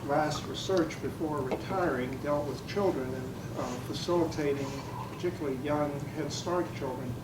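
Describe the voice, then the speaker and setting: An older man introducing himself informally to a group, with measured, formal wording. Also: a little high. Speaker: retired psychologist, workshop participant. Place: large echoey room, mic at a distance. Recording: echoey room, distant mic, loud noise.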